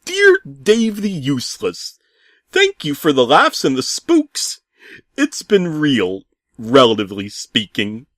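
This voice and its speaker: A man voicing a gloating arch-villain who taunts in a grandiose, drawn-out way as a story's letter writer. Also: on the high side, huge pitch swings, a bit bright, no room sound, clean studio sound, voice pushed up, exaggerated voice. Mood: gloating, taunting.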